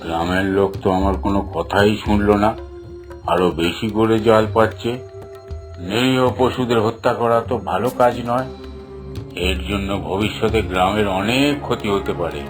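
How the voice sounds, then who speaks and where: A man's voice: on the low side, normal speed, faint music behind, lightly acted. A man voicing a stern, earnest holy man in a cartoon, with a solemn, admonishing manner. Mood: grave concern. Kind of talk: Bengali cartoon dubbing.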